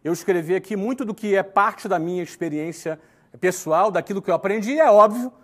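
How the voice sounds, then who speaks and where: Earnest high-voiced man in his forties. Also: brisk. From Brazil. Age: 40s. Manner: earnest, explanatory, uses tag questions. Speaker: entrepreneur giving advice. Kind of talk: talking-head lesson to camera.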